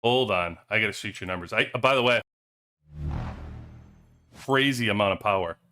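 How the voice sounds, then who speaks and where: A man's voice: fairly low pitch, moderate pace, sharp tone, soft background music. A man hosting a livestream in loose, rambling casual chat with joking asides, talking into a cheap headset. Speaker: livestream host. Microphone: cheap headphones headset.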